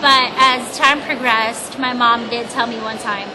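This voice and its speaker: A woman's voice: on the high side, steady tone, a little slow. A young woman from the Philippines, Tagalog her first language, answering oral history questions earnestly with many ums and restarts. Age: young adult. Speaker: interviewee. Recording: loud background noise.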